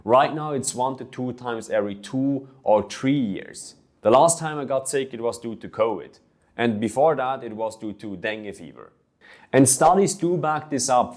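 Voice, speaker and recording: A man's voice: medium pitch, a little mellow. A young man from Switzerland, a fitness coach, talking earnestly about his own experience in a vlog, with small restarts as he goes. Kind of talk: personal vlog monologue.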